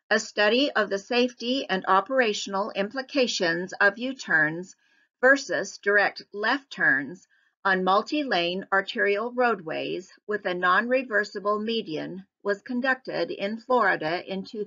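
A woman giving scripted narration for a public hearing slideshow in an even, measured reading. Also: medium pitch, highs cut off.

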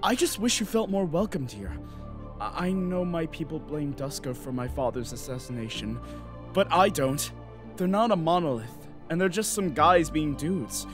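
A man voicing an earnest, gentle young prince in a parody game dub, speaking sincerely. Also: on the high side, animated, moderate pace, natural voice. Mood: earnest, apologetic. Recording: background music under voice.

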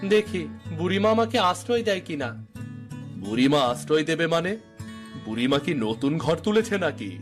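Man playing a village man, high voice, dramatic cartoon dialogue, music underneath. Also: sing-song, moderate pace. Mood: anxious. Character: several village men in a folk-tale cartoon, quarrelling and pleading.

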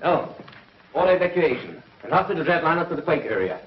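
An actor playing a brisk, commanding middle-aged commissioner in a 1950s TV space serial, with a clipped official manner. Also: male voice, a little high, big pitch swings, far from the mic, a little hiss or hum. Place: studio control-room set. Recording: dull, muffled 1950s TV soundtrack.

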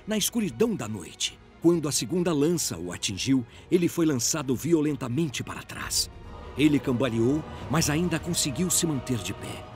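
A man with a Brazilian accent narrating a scripted story in a dramatic audio drama style. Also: on the high side.

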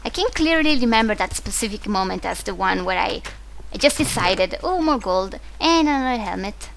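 A woman's voice: mid-range voice, lively intonation, normal speed, vocal fry, slight background noise, poor audio. A young woman with an Italian accent, Italian her first language, chatting casually and rambling as she plays a video game. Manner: chatty, rambling, frequent restarts and fillers. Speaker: gaming video host. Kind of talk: casual commentary while playing.

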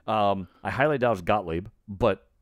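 A man's voice: on the low side, moderate pace, no echo. A middle-aged male podcast co-host in casual, speculative chat, thinking aloud, recorded close on a microphone in a small room. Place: small home room, indoors. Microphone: close boom-arm podcast microphone.

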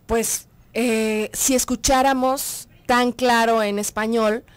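A young woman from Mexico hosts a morning radio talk show in lively Mexican Spanish. She chats easily, asks her guest questions and calls out greetings to listeners, close on a studio mic. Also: fairly high pitch, slow, clear, resonant tone, dry recording. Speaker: radio host. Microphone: studio microphone on a boom.

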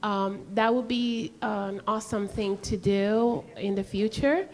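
A native Spanish-speaking woman making an earnest, halting comment from the audience at a lecture. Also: mid-range voice, fairly even intonation, poor audio. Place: indoor hall.

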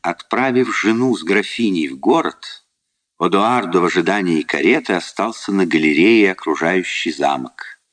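Male narrator, very low voice, reading stage scenes for a vintage 1950s radio drama. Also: expressive, moderate pace, a bit bright.

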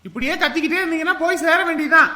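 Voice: very high voice, racing, sharp tone. A performer playing a bossy, exasperated doctor, scolding and sarcastic, in a comic family skit.

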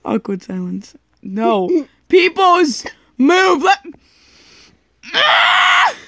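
A woman makes a casual gaming video with an excitable, exclaiming manner. Her high voice swings widely and often gets loud. The home recording has faint noise and clicks. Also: very slow, no echo, clean studio sound. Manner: excitable, shrieks and exclaims in frustration.

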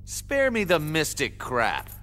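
A man's voice: on the high side, huge pitch swings, takes it slowly, very noisy, full character voice. A man voicing a brash, vengeful young assassin in a video game, cocky, sardonic and foul-mouthed. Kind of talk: video game cutscene acting.

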